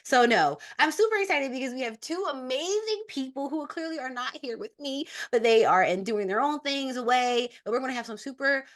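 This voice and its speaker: Lively young woman hosting a chatty podcast. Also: high-pitched, fairly quick, hardly any pauses. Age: young adult. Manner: bubbly, excitable. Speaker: podcast host. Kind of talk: casual chat with friends.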